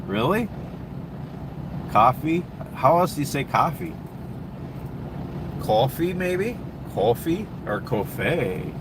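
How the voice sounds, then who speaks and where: A man's voice: mid-range voice, sing-song, slow pace, pauses often, noisy background. A man with a Minnesota accent, hosting a casual livestream and answering viewer questions in a rambling, easygoing way full of ums and restarts. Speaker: livestream host. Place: truck stop, with traffic and air conditioning noise.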